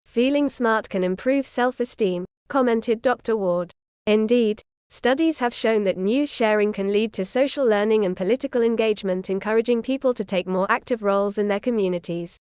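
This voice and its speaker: A woman's voice: a little high, moderate pace, pauses often, darkish tone, smooth, no echo, studio quality. A woman reading a scripted informational passage aloud as language test practice. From India.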